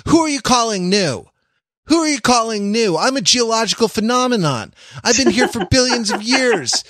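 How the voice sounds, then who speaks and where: A man's voice: very high-pitched, expressive, normal speed, a bit bright, dry recording, studio quality, voice pushed up. A man voicing an affronted, theatrical geological phenomenon billions of years old, in a comic podcast bit. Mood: mock indignant. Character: indignant, billions-of-years-old geological phenomenon protesting being called new.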